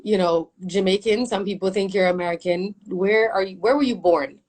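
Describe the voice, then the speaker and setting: A woman hosting an interview, asking questions in a chatty, animated way. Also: medium pitch, normal speed. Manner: chatty and animated, laughs often, asks follow-up questions. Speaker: interview host.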